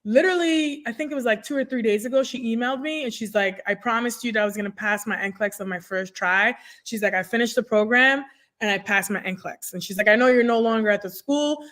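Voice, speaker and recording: A woman in her thirties whose first language is French, telling stories as an energetic guest on a podcast recorded over a video call from home. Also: a little high, fast talker. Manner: energetic storytelling, expressive hands. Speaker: guest, nurse career coach. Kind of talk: conversational podcast interview. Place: home room, indoors. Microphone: desk microphone on video call.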